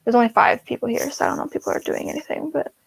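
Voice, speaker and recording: A woman's voice: on the high side, steady tone, rapid-fire, vocal fry, slightly rough, no room sound. A woman hosting a casual writing livestream over a video call, talking in a chatty, playful and sometimes defensive way. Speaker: host.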